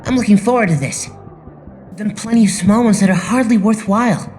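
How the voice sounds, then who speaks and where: A woman's voice: medium pitch, brisk, darkish tone, lightly acted. A woman voicing a confident, breezy teenage boy idol who is eager to shine, in an anime fan dub. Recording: music under the voice.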